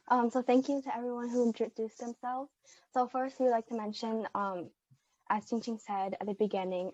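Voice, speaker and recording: Teenage woman, steady instructive tone, video call, dull dry sound. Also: mid-range voice, dry recording. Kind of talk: casual online meeting.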